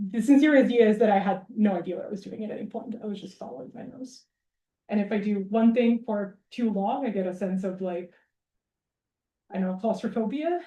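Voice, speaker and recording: A woman's voice: medium pitch, mellow. A woman from Serbia, a researcher answering audience questions informally, with a wry, self-deprecating manner. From Belgrade, Serbia. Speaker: academic researcher giving a public talk. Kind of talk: informal Q&A after a public lecture. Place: university lecture hall. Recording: roomy lecture hall acoustics.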